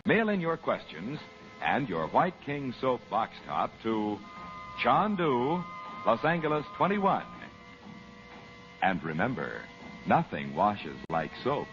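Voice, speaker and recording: A man's voice: medium pitch, sing-song, takes it slowly, a bit bright, highs cut off. A man announcing in 1940s radio advertising style, polished and emphatic. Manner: polished, emphatic sales delivery. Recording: band-limited old radio broadcast with music. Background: music.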